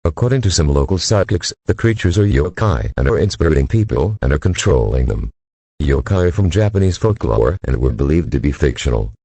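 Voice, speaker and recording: A man with an extremely low voice delivers formal emergency alert announcements. His pitch swings noticeably as he reads, and the delivery stays stiff and official, like a scripted public warning. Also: big pitch swings, fairly quick, warm tone, breathy edge, dry recording.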